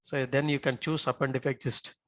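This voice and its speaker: A male software instructor talks through a live on-screen demonstration. His voice sits at a middle pitch in a dry recording with no room sound. He often stops to check that learners follow. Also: flat delivery, a little fast, dry recording. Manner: checks in often with short tag questions.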